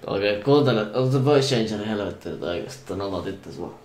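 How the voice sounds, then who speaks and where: A man's voice: on the low side, lively intonation, a little mellow. A young adult man, chatting casually as a game streamer with slang and swearing. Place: indoor room, webcam stream.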